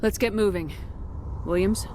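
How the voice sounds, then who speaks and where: An actress playing a tough, curt military commander in a video game. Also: female voice, medium pitch, a little slow, a little mellow, a little hiss or hum, natural voice. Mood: brisk.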